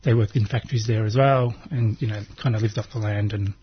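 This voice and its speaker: A man's voice: fairly low pitch, fairly even intonation, brisk, breathy edge, lo-fi sound. A man, talking in an easygoing way and laughing often. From Australia. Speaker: guest. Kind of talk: casual conversation.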